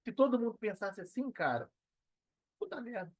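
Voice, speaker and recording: High-pitched earnest Brazilian man. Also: very animated, moderate pace, breathy edge, no room sound, lo-fi sound. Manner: earnest, emphatic, slangy, repeats phrases for emphasis. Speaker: podcast guest, digital entrepreneur. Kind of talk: casual conversational interview talk.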